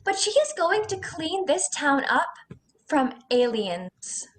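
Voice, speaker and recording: Teenage girl as theatrical diva actress, very high voice, indignant, argumentative, outspoken, comedy play on video call. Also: very animated, slow pace, full character voice. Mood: indignant, grand. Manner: declamatory, argumentative. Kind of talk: stage comedy acting over video call.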